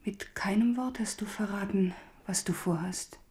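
An actress playing a tender, secretive woman in a German radio drama, speaking in a breathy near-whisper. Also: medium pitch, normal speed, dark tone, close to an everyday voice. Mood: hushed, wistful.

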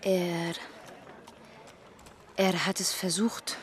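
An actress playing a shy, guarded young butcher's daughter in a German radio drama, speaking in a hesitant, naive way. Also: female voice, lowish voice, level tone, drawn-out words, slight background noise. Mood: embarrassed. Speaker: actor.

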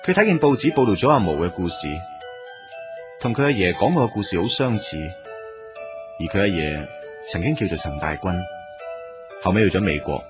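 A man's voice: deep voice, sing-song, moderate pace, long pauses, over music. A man acting as a wistful young man in a radio drama, speaking and narrating in Hong Kong Cantonese. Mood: reflective.

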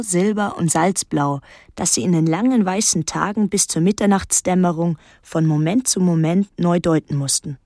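An actress playing a solitary, watchful island woman, narrating a literary radio drama in a measured, restrained and introspective way. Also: fairly low pitch, a little mellow, no room sound, studio quality.

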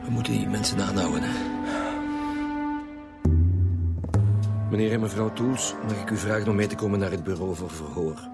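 An actor playing a steady, down-to-earth senior Flemish detective in a radio drama, with a matter-of-fact, authoritative way of talking. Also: male voice, fairly low pitch, quick pace, vocal fry. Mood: official, firm. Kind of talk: naturalistic radio drama acting. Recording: music behind the voice.

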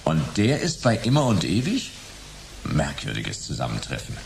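An actor playing a terse, suspicious private detective in a radio drama, dry and probing in manner. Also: male voice, extremely low pitch, wildly swooping pitch, normal speed, gravelly, background noise, heavily acted.

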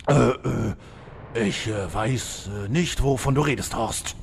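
An actor playing a pompous, easily offended evil dragon in a comedy audio drama. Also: male voice, mid-range voice, expressive, slow, vocal fry, slight background noise. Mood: flustered. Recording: long cavernous reverb.

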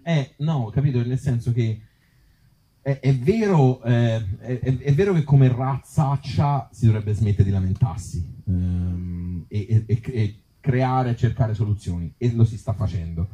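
A man with a Tuscan accent talking informally at a live event as an actor and author, in halting, circling phrases with blunt joking asides. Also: medium pitch, mellow, low-quality recording. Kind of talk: informal live talk with the audience. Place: outdoor evening event in an olive grove.

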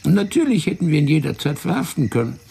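A smug, heavy intelligence official in a radio drama. His voice is fairly high, thick with creak, and leaps about in pitch. He drawls condescendingly, then abruptly hurries. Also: very animated, quick pace, dark tone, slight background noise, heavily acted. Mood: placating. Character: fat sweating male intelligence official, smug, sly, controlled. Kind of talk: German radio drama acting.

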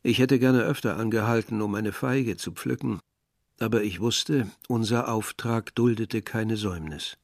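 Low-voiced reflective male narrator. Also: a little slow, dry recording, clean studio sound. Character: reflective man narrating his village youth and journey. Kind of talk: literary radio drama narration.

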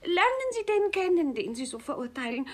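A young daughter in a classic radio play. Her voice is very high, and she implores with formal, devoted earnestness. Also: big pitch swings, fairly quick. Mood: pleading. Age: young adult. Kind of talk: classic stage comedy radio acting.

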